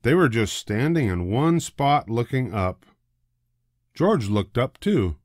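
An older man narrating a children's storybook in a deliberate, expressive way. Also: on the low side, no echo, clean studio sound. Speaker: storybook reader.

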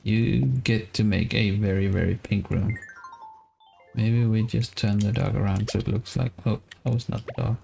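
A man in his thirties with a Danish accent, giving relaxed, casual live game commentary and musing aloud as he plays. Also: on the low side, normal speed, creaky. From Denmark. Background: guitar music.

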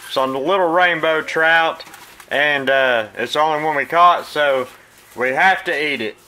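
A man in his thirties with a Southern American accent, casually walking viewers through cleaning and pan-frying a fish in his home kitchen, recorded on a phone. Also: medium pitch. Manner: folksy, relaxed, talks to viewers as "guys". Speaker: cooking channel host. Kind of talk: casual cooking demonstration. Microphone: phone camera.

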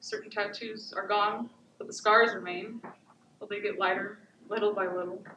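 Woman acting a bright-voiced, wounded, resolute abuse survivor. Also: mid-range voice, fairly even intonation, dull audio, natural voice. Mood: somber, resolute. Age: young adult. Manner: deliberate, declamatory, short weighted phrases. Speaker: high school stage actor. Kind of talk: dramatic theatrical monologue. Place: indoor theatre stage, far camera. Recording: echoey theatre, distant mic, faint noise.

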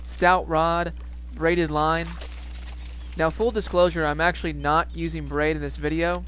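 Calm young man explaining fishing tips. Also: fairly high pitch, unhurried, a little hiss or hum. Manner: calm, explanatory, matter-of-fact. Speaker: fishing video host. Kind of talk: instructional voice-over narration.

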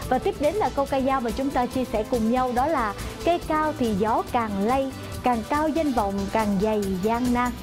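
A woman's voice: high voice, moderate pace, hardly any pauses. A middle-aged woman presenting a weather forecast in a polite, semi-scripted way in a TV studio. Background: background music.

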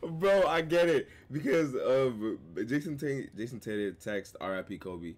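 A man's voice: medium pitch, animated, moderate pace, darkish tone. A young man hosting a reaction video from his bedroom, talking in loose slang and laughing often. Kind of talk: casual reaction commentary. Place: small bedroom with gaming chair.

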